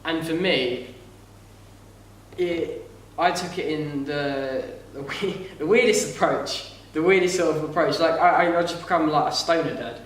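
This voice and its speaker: A young man with a light voice, higher than most men's, chatting on a podcast in a rambling, halting way. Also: slight background noise. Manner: rambling, halting, with frequent restarts. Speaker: podcast co-host, actor. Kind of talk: casual chat. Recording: echoey room, mic a bit far off.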